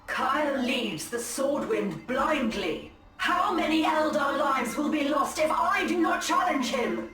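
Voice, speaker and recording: A solemn, resolute alien seer, with formal phrasing and a fairly high voice. She sounds distant and echoey, as in a game cutscene. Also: animated, slow. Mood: resolute, troubled. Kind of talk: dramatic video game cutscene acting. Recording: echoey and distant, music behind.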